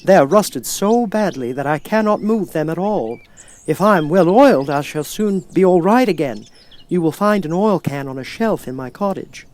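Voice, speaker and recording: A man's voice: on the high side, expressive, moderate pace, a little mellow, voice pushed up, lightly acted. A man voicing a polite, grateful man made of tin, speaking in a courteous, plaintive way.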